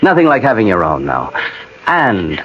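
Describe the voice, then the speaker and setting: An easygoing, folksy writer and old friend in a radio play. His voice sits fairly low and moves up and down with a lot of expression. The old broadcast sounds a little dull, with light noise. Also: huge pitch swings, moderate pace. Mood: jovial. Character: easygoing married writer and peat cutter, warm old friend. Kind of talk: 1980 radio drama acting with narration.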